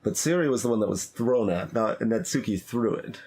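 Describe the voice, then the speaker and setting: A man's voice: fairly low pitch, lively intonation, brisk, a little mellow, breathy edge. A man giving live playthrough commentary on a visual novel, hesitant and thinking aloud as he reads. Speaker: streamer playing a visual novel.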